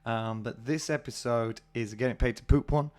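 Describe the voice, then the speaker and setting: A British man hosting a casual solo podcast, husky from a cold, chatty and rambling with many fillers. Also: medium pitch, fairly even intonation, moderate pace, a little mellow. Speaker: podcast host.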